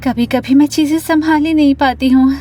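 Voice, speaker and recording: High-voiced anxious, lovestruck student girl acted by a woman. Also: moderate pace, close to an everyday voice. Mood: dreamy confiding. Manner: expressive, confiding, dramatic. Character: lovestruck, anxious, imaginative young female student telling her story. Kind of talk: animated first-person story narration and dialogue. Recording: music bed under the voice.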